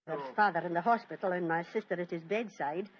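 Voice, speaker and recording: A woman's voice: on the low side, fast, lightly acted. An actress playing a kindly, deferential housekeeper in an old radio drama, homely and respectful in her way of talking. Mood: admiring, grateful. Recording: dull, noisy vintage radio recording.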